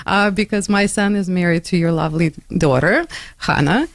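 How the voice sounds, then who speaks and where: A middle-aged woman hosting a radio interview in a studio, chatty and quick to laugh, with many fillers. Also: on the low side, dry recording. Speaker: radio host. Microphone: studio microphone.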